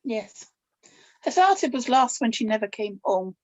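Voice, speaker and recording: A woman playing an older grandmother, gentle but firm and secretive, in a scripted table read. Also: fairly high pitch, sing-song, normal speed, dull audio. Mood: measured, reflective.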